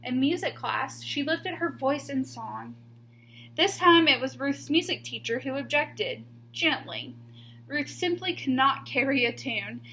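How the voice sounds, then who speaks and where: A woman with a high voice reads a picture book to children in a teacher's expressive style. She pauses deliberately and leans on key words. There is some faint noise and hum behind her. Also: poor audio. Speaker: teacher reading a book aloud.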